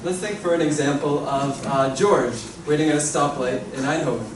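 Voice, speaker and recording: A man gives a short prepared talk and hesitates now and then with fillers. His voice sits a little high. It is picked up from a distance in an echoey hall with clear background noise. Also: normal speed. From Canada. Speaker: presenter.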